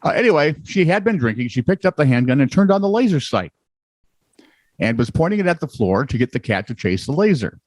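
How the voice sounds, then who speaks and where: A man co-hosts a comedy news podcast with a lively, swooping voice. He reads offbeat stories and drops dry, sarcastic asides. His voice sounds close and dry, with little room sound. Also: on the high side, brisk, no echo. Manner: wry, sarcastic, lively delivery. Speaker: co-host.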